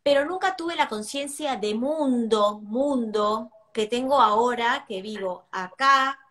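Female guest, 50s, Argentine accent, somewhat high pitch, casual and searching delivery over video call. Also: takes it slowly, a bit bright. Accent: Argentine Spanish. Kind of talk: casual chat. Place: room at home.

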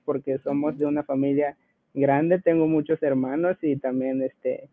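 A man in his twenties with a Guatemalan accent talks informally, giving a personal testimony as a guest. His voice sits a bit high for a man. The sound is dry and narrow, like a small radio or phone line. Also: flat delivery, moderate pace, no echo. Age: 20s.

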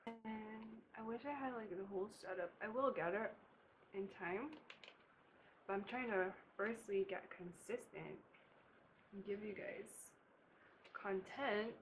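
A woman's voice: fairly high pitch, a little slow, pauses often, slight background noise, rough recording. A young woman from Barbados, chatting casually with laughing asides as she does her makeup in a bedroom. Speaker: beauty vlogger. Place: bedroom, indoors.

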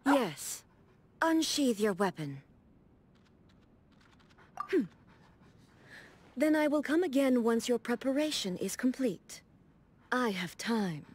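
Serene, high-voiced warrior ruler. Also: female voice, animated, darkish tone, husky, full character voice. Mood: resolute. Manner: formal, measured, composed. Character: serene, dignified female ruler, a warrior devoted to eternity. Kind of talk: scripted video game character dialogue.

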